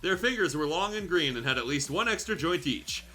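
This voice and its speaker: A man's voice: on the high side, animated, fairly quick, pushed and bright, faint music behind. A man hosting a show, reading fan fiction aloud casually and comically, with playful, sardonic asides.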